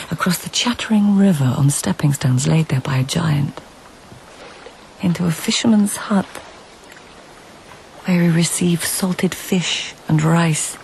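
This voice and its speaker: An actress playing an observant court lady of tenth-century Japan in a radio drama, speaking in a lyrical, measured, breathy way. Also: low-pitched, darkish tone, slight background noise, heavily acted, poor audio. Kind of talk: radio drama acting and narration.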